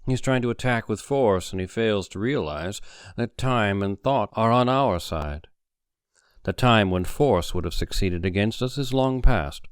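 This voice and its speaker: A man voicing a calm, smooth-talking conspiracy leader in an audiobook story, in a measured murmur. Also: on the low side, no room sound, clean studio sound, close to an everyday voice. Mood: calm confidence.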